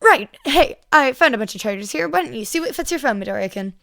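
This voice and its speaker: A woman voicing a loud, playful male hero with an exuberant, exclaiming delivery, in an audio fan story. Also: high voice, lively intonation, gravelly, no echo, studio quality, pitched above the performer's own voice, full character voice. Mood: excited. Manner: exuberant, exclaiming, drawn-out words.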